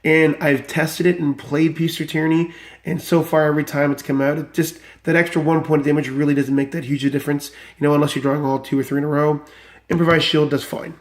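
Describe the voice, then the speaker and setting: Enthusiastic male deck reviewer, slightly high voice, still recovering from illness, chatty and rambling. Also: little pitch movement, fast talker. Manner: enthusiastic, rambling with many fillers. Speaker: card game deck reviewer. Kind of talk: casual deck walkthrough.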